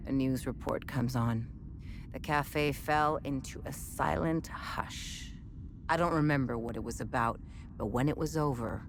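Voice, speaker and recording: An actress playing a chatty, wry military research scientist in a video game, explaining things in a measured, conversational way. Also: female voice, extremely low pitch, background noise. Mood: reflective. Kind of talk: scripted game dialogue, conversational exposition.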